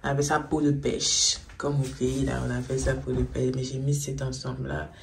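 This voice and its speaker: Young woman, casual vlog, rambling chat, gravelly low-toned voice, phone recording with background noise. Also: flat delivery, normal speed. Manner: chatty, rambling, drops to whispers. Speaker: vlogger. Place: bathroom, car and grocery store. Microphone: phone camera, held or on dashboard. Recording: phone audio with car and store noise.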